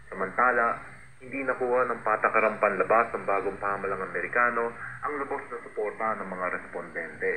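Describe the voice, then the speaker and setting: Bright-voiced Filipino newsreader, man, on shortwave. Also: lowish voice, brisk. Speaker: radio news reader. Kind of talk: formal scripted news reading. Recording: noisy shortwave radio broadcast.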